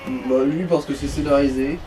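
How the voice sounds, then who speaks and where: A man's voice: medium pitch, fairly even intonation, normal speed, mellow. A man giving casual, slangy commentary on a video game, explaining things as he goes. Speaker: co-commentator of a let's play. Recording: noisy room, distant microphone.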